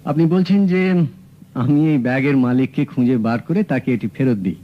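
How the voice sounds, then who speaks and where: An actor playing a sharp, calm private detective in a radio drama, questioning a client with measured, probing questions. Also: male voice, medium pitch, close to an everyday voice. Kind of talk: 1970s radio drama acting. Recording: dull lo-fi old radio recording with faint hiss.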